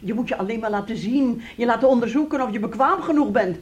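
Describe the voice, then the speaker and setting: An actress in a radio play playing a strict, emotional middle-aged mother with a drawn-out, dramatic delivery. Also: fairly high pitch, husky. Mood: scolding. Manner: emotional, drawn-out, scolding or pleading.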